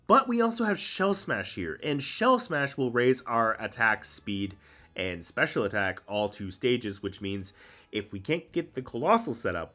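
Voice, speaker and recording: A man's voice: mid-range voice, pushed and bright. A man with a cold and a congested voice, casually explaining a competitive game team and thinking aloud with many ums. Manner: chatty, explains strategy, frequent ums. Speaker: Pokemon VGC content creator.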